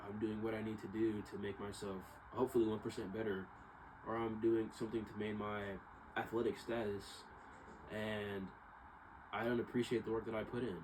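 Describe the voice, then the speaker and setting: A man's voice: fairly low pitch, brisk. A young man talking casually to viewers in a rambling, reflective monologue, hesitant and often circling back over his points. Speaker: vlogger. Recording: clear steady background noise.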